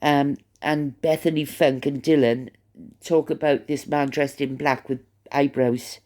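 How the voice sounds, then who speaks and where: A Welsh-accented vlogger talks through the day's gossip in a loose, rambling way. The voice sits at an ordinary middle pitch, chatty and stop-start, often doubling back and repeating words while thinking out loud. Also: steady tone, a little slow. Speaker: channel host. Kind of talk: rambling gossip commentary to camera.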